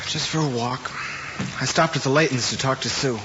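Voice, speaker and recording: A dull, noisy old drama soundtrack carries a man acting as an earnest young American student. He is subdued and contrite, with a middle-pitched voice and a plain delivery. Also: brisk, lightly acted. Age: young adult. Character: earnest, guilt-ridden young student confessing to cheating.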